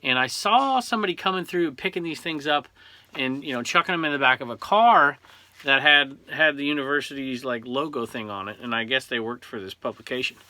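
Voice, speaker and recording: Chatty Southern-accented man, bright voice. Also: mid-range voice, fairly quick. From Southern California. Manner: chatty, rambling, chuckling between thoughts. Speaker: eBay reseller and show host. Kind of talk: casual home vlog.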